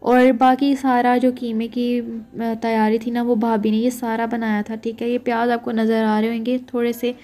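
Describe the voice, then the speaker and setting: A young woman talks casually through a recipe in a fairly high voice. Her delivery stays flat and mellow, and she keeps checking in with her listeners. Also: moderate pace, few pauses. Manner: chatty, keeps saying "theek hai" to check in with viewers. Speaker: cooking vlogger. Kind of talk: casual cooking narration.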